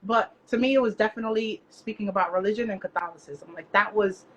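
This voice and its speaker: A woman's voice: mid-range voice, fast, a bit bright, slight background noise, rough recording. A Dominican woman author speaking as a guest, emphatic and blunt, slipping into Spanish phrases. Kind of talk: candid interview talk.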